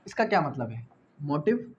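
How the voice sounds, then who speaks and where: High-pitched Pakistani man lecturing. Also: sing-song, moderate pace, muffled recording, slight background noise. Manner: didactic, repeats key phrases and asks rhetorical questions. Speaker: law tutor. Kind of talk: exam-prep lecture mixing Urdu with English legal terms.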